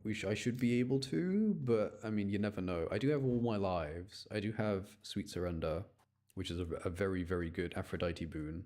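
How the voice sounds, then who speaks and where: A man's voice: low-pitched, brisk, a little mellow. A man doing casual live game commentary for his chat, rambling and thinking aloud as he plays. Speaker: game streamer.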